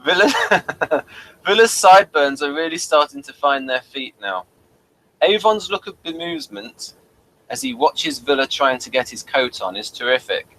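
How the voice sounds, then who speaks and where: Bright-voiced man in casual review chat. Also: on the high side, very animated, moderate pace, low-quality recording. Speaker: review show host. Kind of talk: casual review chat, partly reading aloud.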